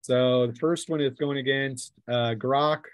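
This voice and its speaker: A man's voice: mid-range voice, steady tone, measured pace. A man acting as game master of a tabletop role-playing game, narrating casually off the cuff and throwing in vocal sound effects. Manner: acts out sound effects and cries.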